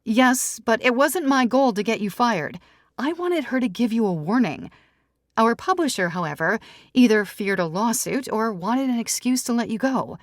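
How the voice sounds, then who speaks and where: A woman's voice: on the high side, lively intonation, moderate pace, dry recording, studio quality, pitched above the performer's own voice, lightly acted. A woman reading an audiobook, voicing a composed, firm female tabloid editor with an assured, pointed way of talking. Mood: pointed, cool. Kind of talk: audiobook character dialogue.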